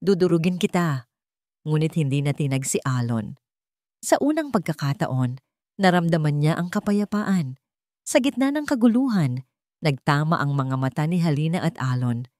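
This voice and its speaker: A woman's voice: sits low, expressive, normal speed, a little mellow, no echo, studio quality. A woman narrating a scripted dramatic story.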